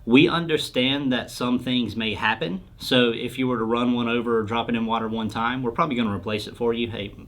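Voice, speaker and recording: A man speaks at a middling pitch in an informal interview. He explains things plainly and practically, as a product specialist answering a customer's basic questions. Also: fairly even intonation, brisk, hardly any pauses, a bit bright. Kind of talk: casual explanatory interview talk.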